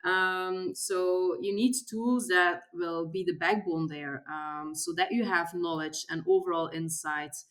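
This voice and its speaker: Belgian woman, slightly low voice. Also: fairly even intonation, slow, few pauses, a bit bright. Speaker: podcast guest, software industry expert. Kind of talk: conversational interview, explanatory.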